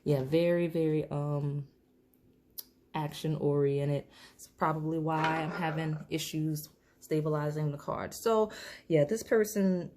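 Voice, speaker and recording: Lowish slightly hoarse woman rambling thru a tarot reading, faint hiss behind. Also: fairly even intonation, measured pace, mellow. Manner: chatty, filler-heavy, restarts, laughs. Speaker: tarot reader. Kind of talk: casual tarot reading to camera.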